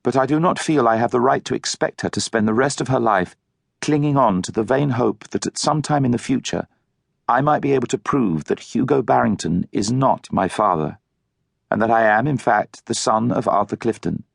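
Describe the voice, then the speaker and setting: A man's voice: medium pitch, moderate pace, creaky, no echo, clean studio sound. A man voicing an earnest, polite young Englishman, speaking formally and articulately in an audiobook novel. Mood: heartfelt, solemn.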